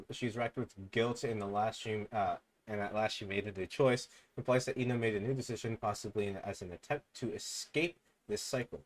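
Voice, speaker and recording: A young man streaming, reading fan theories aloud in a low mumble and breaking into loud excited outbursts. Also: low-quality recording.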